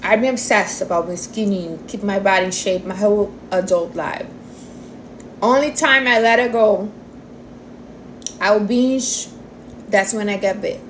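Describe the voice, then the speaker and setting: Slow-paced woman of forty chatting casually. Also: medium pitch, a little hiss or hum, low-quality recording. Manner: informal, non-native English phrasing, addresses listeners as honey and guys. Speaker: livestream host answering viewer questions on diet. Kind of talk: casual chat.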